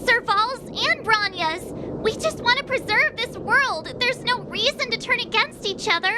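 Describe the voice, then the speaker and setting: A woman's voice: very high-pitched, animated, moderate pace, noisy background. An actress voicing a youthful girl adventurer in a video game, girlish and plain-spoken. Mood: earnest.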